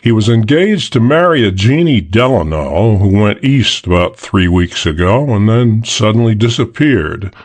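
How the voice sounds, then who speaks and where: A man playing a careful, matter-of-fact private detective with a measured manner in an audiobook. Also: fairly low pitch, animated. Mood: businesslike. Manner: businesslike, measured. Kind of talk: audiobook character acting.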